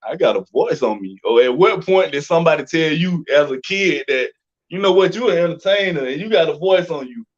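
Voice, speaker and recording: A man's voice: fairly high pitch, expressive, brisk, highs cut off. An American man hosting a casual interview in an informal, slangy way. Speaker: interview host.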